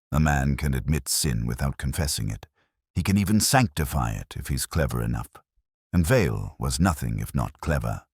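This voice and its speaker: A man narrating a scripted first-person detective story in a measured, literary, brooding manner. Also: a bass voice, no room sound, clean studio sound.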